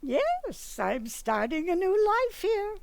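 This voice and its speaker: A woman playing a blunt, flighty older mother in an amateur radio play, with a dramatic, drawn-out delivery. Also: very high voice, wildly swooping pitch, creaky, no echo, exaggerated voice. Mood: blunt, brisk.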